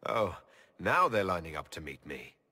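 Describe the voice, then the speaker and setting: A man's voice: low voice, very animated, moderate pace, sharp tone, no room sound, heavily acted. An actor playing an earnest male elf leader in a video game cutscene, speaking plainly and questioning what happens around him. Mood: amused. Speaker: leader of the Inquisition.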